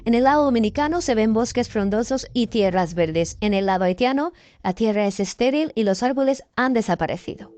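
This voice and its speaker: A woman's voice: fairly high pitch, lively intonation, fairly quick, darkish tone, no room sound. Woman narrating a news documentary.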